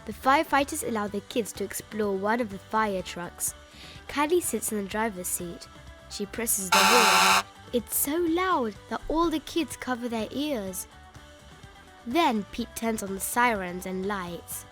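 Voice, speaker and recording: A woman reading a children's picture book aloud in an expressive, emphatic way, stretching out key words. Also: mid-range voice, measured pace. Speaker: children's story reader. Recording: music bed behind the voice.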